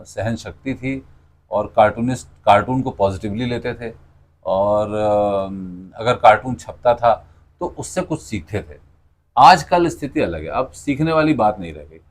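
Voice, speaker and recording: A man's voice: mid-range voice, animated, unhurried. A middle-aged man, answering interview questions conversationally and explaining through anecdotes. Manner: explanatory, tells anecdotes with quoted dialogue. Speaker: interview guest, cartoonist and radio announcer.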